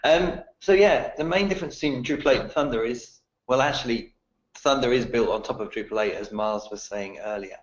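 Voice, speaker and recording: Man, croaky voice, steady technical explainer, roomy echo. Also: medium pitch, fairly quick. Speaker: technical presenter answering questions. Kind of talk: webinar Q&A answers.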